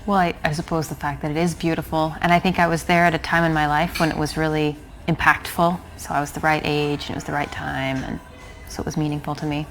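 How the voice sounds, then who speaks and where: An actress playing a friendly young American woman who plays volleyball, talking in a relaxed, thoughtful way. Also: low-pitched, fast talker, low-quality recording. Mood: calm. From United States. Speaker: woman in a coffee shop. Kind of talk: live-action game acting, conversational.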